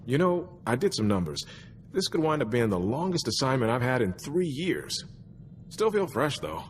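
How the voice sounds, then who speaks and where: An actor playing an easygoing, chatty and wry young army soldier in a video game, speaking in a musing, conversational way. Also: male voice, medium pitch, very animated, normal speed, slight background noise, close to an everyday voice. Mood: chatty, upbeat. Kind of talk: video game cutscene dialogue.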